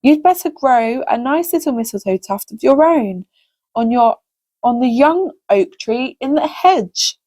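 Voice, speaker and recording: Woman as a kindly, slow-speaking pixie aunt. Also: sits high, animated, mellow. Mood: patient, advising. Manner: patient, advising.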